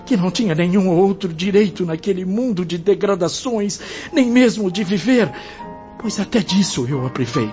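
A man's voice: high voice, lively intonation, moderate pace, a little mellow. An actor in a radio drama playing a repentant, tormented male spirit in an impassioned, declamatory way. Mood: grave guilt. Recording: dull lo-fi, music behind.

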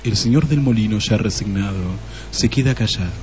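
Dark-voiced male radio-play narrator. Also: fairly low pitch, fairly quick, soft background music. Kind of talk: scripted radio drama narration.

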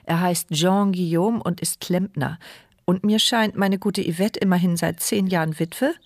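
A woman's voice: lowish voice, unhurried, no echo, clean studio sound. An actress playing a mute, paralysed woman who narrates her inner thoughts in an intimate, urgent voice in a radio drama. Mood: wry. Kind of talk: first-person radio drama monologue.

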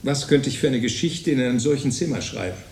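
A man's voice: mid-range voice, lively intonation, fast talker, darkish tone, lightly acted. An actor playing a calculating writer who tallies his money in a precise, pedantic radio drama inner monologue. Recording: roomy, echoing studio sound.

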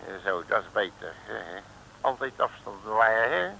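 A man's voice: on the low side, normal speed, creaky, pushed and bright, a little hiss or hum, poor audio. A man playing a cocky, boastful detective in a 1950s comic radio serial, blustering in colloquial banter. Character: cocky, boastful male detective, brash and cheeky. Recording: vintage radio drama recording.

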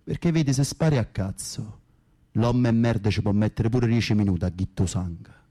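An actor playing a tough, touchy young Camorra gangster in a radio drama, gruff, blunt and boastful, with a Neapolitan accent. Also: male voice, fairly low pitch, fairly quick, mellow, close to an everyday voice. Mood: swaggering.

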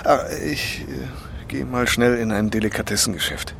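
An actor playing a talkative, jovial and domineering older bon vivant in a radio drama. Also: male voice, mid-range voice, steady tone, normal speed, vocal fry, a bit bright, soft background music. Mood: breezy, teasing. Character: breezy, bossy, garrulous older male bon vivant. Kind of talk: German radio drama acting.

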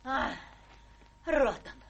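A woman's voice: sits high, lively intonation, drawn-out words, vocal fry, exaggerated voice. An actress playing a capricious, domineering rich old lady prone to hysterics, in a theatrical radio-drama style. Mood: outraged, frantic. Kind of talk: stage-trained radio drama acting.

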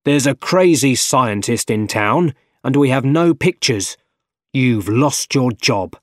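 A man voicing a bossy, blustering middle-aged newspaper boss in a storybook reading, loud and exclamatory. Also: medium pitch, slow, no echo, clean studio sound, lightly acted. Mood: angry.

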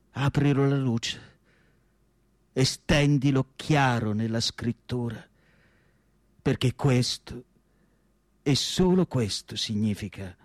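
An actor playing a reflective, melancholic asylum doctor writing his diary, in a solemn, measured radio drama monologue with deliberate pauses. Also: mid-range voice, a little mellow, no echo, clean studio sound, lightly acted. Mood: intimate, melancholic.

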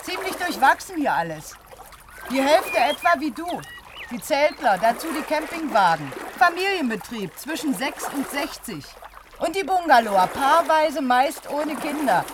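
A woman's voice: high voice, very animated, a little slow. An actress playing a cheeky, outspoken lifeguard student in her early twenties in a radio play, teasing in short, breezy phrases. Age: early 20s. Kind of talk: naturalistic radio drama dialogue. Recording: outdoor scene with clear water noise. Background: lapping, trickling lake water.

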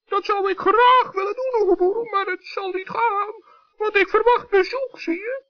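A man voicing a small, naive gnome in a children's radio play, squeaky, childlike, earnest and dithering. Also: normal speed. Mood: evasive. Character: small male gnome, naive, curious, hesitant. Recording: dull 1960s radio recording.

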